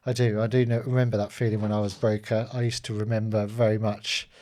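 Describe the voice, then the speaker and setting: A man's voice: fairly low pitch, flat delivery, fast, warm tone. A man hosting an interview with colleagues, a former mortgage broker who prompts with questions and lots of agreeing yeahs.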